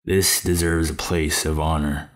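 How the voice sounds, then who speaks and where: A man acts out a fatherly older man for an audio drama. The voice is extremely low and flat in pitch. He is measured, gentle and approving in manner. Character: kindly older man, encouraging father figure. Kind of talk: fan audio drama acting.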